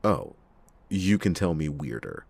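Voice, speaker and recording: A man playing a cynical, reluctant, hard-drinking private detective in a noir ASMR roleplay, with a husky, gravelly voice and sardonic, theatrical delivery. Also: extremely low pitch, expressive, a little mellow, no room sound, exaggerated voice. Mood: exasperated, sardonic. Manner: sardonic, theatrical, halting speech with drawn-out emphasis. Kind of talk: ASMR detective roleplay, acted monologue to listener.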